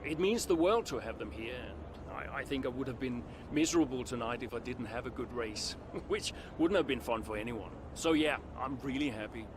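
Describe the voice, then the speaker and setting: A man acts out a veteran racing driver for a game cutscene, low in pitch and naturalistic. He comes across as weary, a little insecure and quick to defend himself. Clear ambient noise sits behind him. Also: expressive, natural voice. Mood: composed, guarded.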